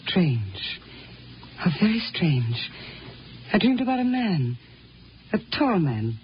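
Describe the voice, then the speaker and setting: An actress playing a wistful, dreamy young Martian wife in 1950s radio drama style. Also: female voice, lowish voice, sing-song, slow. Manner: dreamy, musing, hesitant. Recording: dull, noisy 1950 radio recording.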